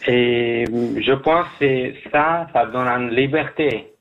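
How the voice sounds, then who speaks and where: A man from Afghanistan, speaking as a guest in a radio interview, halting, with many restarts. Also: mid-range voice, highs cut off, slight background noise. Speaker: guest job seeker.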